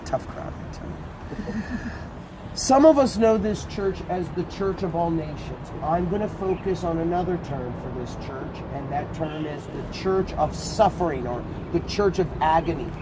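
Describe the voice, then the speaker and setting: Guy tour guide with a big booming voice, chatty and animated, traffic noise all around outside. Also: unhurried. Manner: animated, conversational explaining to a group. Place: outdoors at a garden site. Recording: outdoor street noise.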